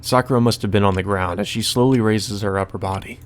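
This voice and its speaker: A man's voice: lowish voice, fairly even intonation, quick pace, slight background noise. A man hosting a let's play, reading a visual novel aloud and adding casual commentary. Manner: casual, profane asides. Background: music.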